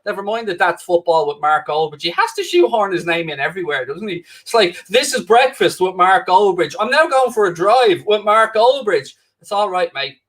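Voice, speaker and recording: A man's voice: high-pitched, sing-song, moderate pace, few pauses, fairly bright tone. A man with a Dublin-Wicklow Irish accent, filling in as host of a live football watchalong, chatting casually and bantering with the viewers in a cheeky, self-mocking way. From Ireland. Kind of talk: casual live-stream chat reading viewer comments. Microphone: new microphone, close.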